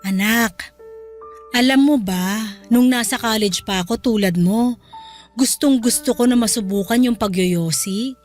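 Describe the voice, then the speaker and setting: A woman's voice: a little high, a little slow, faint music behind, natural voice. A woman playing a worried, exasperated middle-aged mother in a Filipino radio drama, emotive and pleading. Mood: earnest concern.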